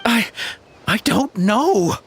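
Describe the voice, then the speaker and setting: A man's voice: sits high, animated, very slow, a bit bright, slight background noise, full character voice. A man playing a nervous, cornered henchman in a video game, hesitant and stammering.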